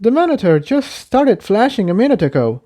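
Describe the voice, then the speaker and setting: Casting: businesslike mare on an airship crew, done by a man, raised pitch, curt but slightly hesitant, storybook fantasy. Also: very animated, moderate pace, dark tone. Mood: puzzled.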